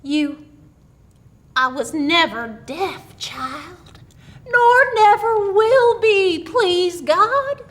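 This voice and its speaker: A woman's voice: extremely high pitch, animated, drawn-out words, a bit bright, pitched above the performer's own voice, full character voice. A woman reading aloud, voicing a sly old aunt who has only pretended to be deaf, speaking in quavering country dialect. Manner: dialect speech, chuckling.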